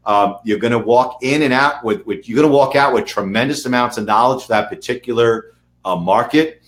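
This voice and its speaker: Male webinar host, New York accent, emphatic and chatty, average pitch. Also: fairly quick, fairly bright tone. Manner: emphatic, repeats words, frequent "okay" and "um". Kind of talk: casual webinar talk.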